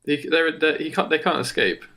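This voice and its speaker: A man co-hosting a podcast, casually improvising a story in a halting way, as if thinking aloud. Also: a little high, a crowd in the background. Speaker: podcast co-host.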